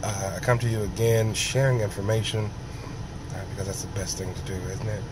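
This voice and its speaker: A man with a sore throat and a raspy, hoarse voice, talking slowly in a rambling casual monologue, often clearing his throat. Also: fairly low pitch, steady tone, background noise. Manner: rambling, slow, many ums and throat clearing. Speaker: vlogger.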